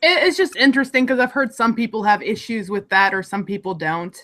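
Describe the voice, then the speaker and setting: A woman who grew up between Mexico and the United States, chatting casually and trailing off softly. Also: fairly high pitch, a little fast. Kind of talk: casual chat.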